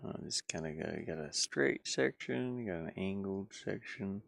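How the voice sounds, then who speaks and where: A man's voice: low-pitched, animated, unhurried, vocal fry, fairly bright tone. A man live streaming game design work, rambling and musing casually as he hesitantly thinks aloud.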